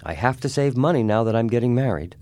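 A man's voice: medium pitch, animated, fast talker, mellow, clean tone, no echo, voice pushed up, lightly acted. A man voicing a cool, wry young man who is a reformed safecracker. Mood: calm.

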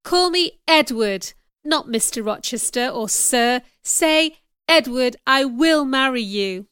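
A woman voicing a commanding, ardent gentleman in a storybook, with an earnest, emphatic delivery. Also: high-pitched, slow pace, smooth, no room sound, clean studio sound. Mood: ardent pleading. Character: Mister Rochester, commanding, ardent gentleman in love.

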